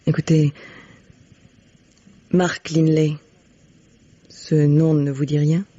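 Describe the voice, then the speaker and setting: A husky, fairly low-voiced woman as a protective widow in a vintage radio mystery. She is composed and earnest, and the old recording sounds dull and lo-fi. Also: level tone, extremely slow, warm tone, no room sound, full character voice. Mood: grave, confiding. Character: resolute, protective widow sheltering a fugitive. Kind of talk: French radio mystery drama acting.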